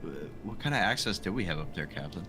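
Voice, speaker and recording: A man's voice: low voice, animated, quick pace, background noise. A man speaking casually as a player in a tabletop roleplaying game. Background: music.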